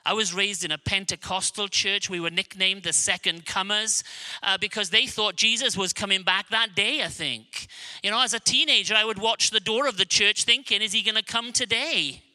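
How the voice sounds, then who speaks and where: A middle-aged Welsh man preaching a sermon, earnest and emphatic, dropping low and slow on key lines. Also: sharp tone. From Wales. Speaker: guest preacher.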